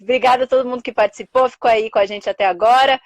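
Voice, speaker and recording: A woman's voice: a little high, steady tone, racing, no room sound. A young adult woman with a Brazilian Portuguese accent, hosting a live interview and thanking her guest effusively. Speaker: host.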